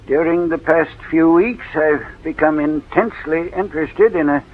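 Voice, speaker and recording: An actor playing a sly, ailing old uncle in 1940s radio drama, deliberate and needling. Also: male voice, on the high side, lightly acted. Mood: sardonic. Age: older. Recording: muffled old radio recording, narrow band.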